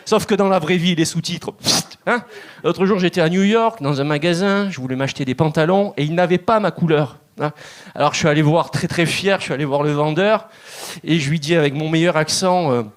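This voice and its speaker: A man's voice: a little high, fairly quick. A man in his thirties from Marseille with a strong Marseille accent, doing a chatty stand-up set on stage. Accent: Marseille French. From Marseille, France. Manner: chatty, laughing, puts on voices for quoted people. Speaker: stand-up comedian. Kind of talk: stand-up routine. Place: comedy club stage.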